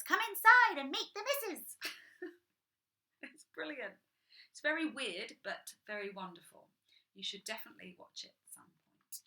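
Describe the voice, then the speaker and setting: A woman's voice: on the high side, sharp tone. A young woman reading a picture book to children, breaking off for chatty, enthusiastic asides. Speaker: children's storytime reader.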